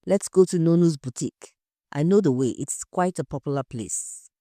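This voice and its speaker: A woman voicing a sharp, probing female crime journalist in an audio story, questioning briskly and insistently. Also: deep voice, expressive, dry recording, clean studio sound. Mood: curt, decisive.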